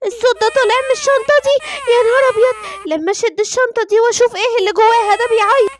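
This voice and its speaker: A woman's voice: extremely high pitch, racing, noisy background, pitched above the performer's own voice. A woman voicing a small, curious cartoon boy who wonders aloud and tries to be brave. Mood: curious, startled.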